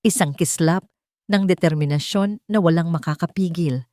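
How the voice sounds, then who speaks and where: Woman reading a dramatic love story audiobook, kinda low voice, dry close sound. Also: moderate pace, warm tone, no echo. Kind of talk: scripted dramatic romance narration.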